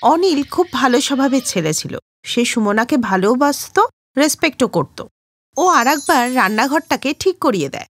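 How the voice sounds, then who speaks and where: A woman's voice: sits high, lively intonation, moderate pace. A woman reading a scripted moral story for children as the storyteller.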